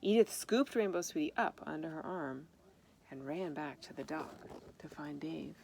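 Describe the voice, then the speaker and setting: A woman reading a children's story aloud in an expressive manner, with informal asides about the pictures. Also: low-pitched, moderate pace, gravelly. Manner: expressive, acts out dialogue. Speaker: storybook reader. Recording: noticeable background noise.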